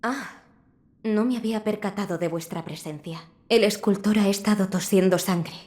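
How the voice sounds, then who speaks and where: A woman voicing a polite, scholarly physician in a video game, speaking formally in a measured, composed way. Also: medium pitch, darkish tone, breathy edge, no room sound, natural voice. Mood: composed, studious.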